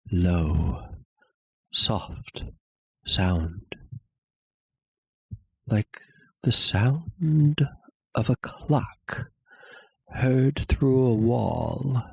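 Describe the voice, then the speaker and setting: A man playing an obsessive, unhinged murderer in a dramatic gothic horror reading, halting and theatrical with suspenseful pauses. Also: deep voice, slightly rough, no echo, exaggerated voice. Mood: hushed dread. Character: obsessive, unhinged murderer confessing his crime.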